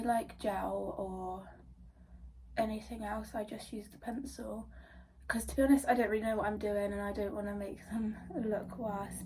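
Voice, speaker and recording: A young woman with a cold talks through her makeup routine in a loose, rambling way, full of fillers. Her voice is soft and mellow, and she sits a little back from the mic. Faint hiss runs under the recording. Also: medium pitch, recorded from a distance, poor audio. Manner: chatty, rambling, many fillers. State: stuffy nose from a cold. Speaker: beauty vlogger. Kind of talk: casual makeup tutorial chat.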